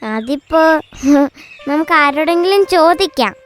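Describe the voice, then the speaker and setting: A woman's voice: sits high, animated, unhurried. A woman voicing a timid but eager young leopard cat in a children's cartoon. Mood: sheepish.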